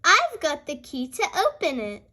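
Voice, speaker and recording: An eager little girl pig from a picture book, acted out by a young reader. The voice is very high and bright, swooping into exclamations with childlike keenness. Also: wildly swooping pitch, normal speed, exaggerated voice. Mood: excited.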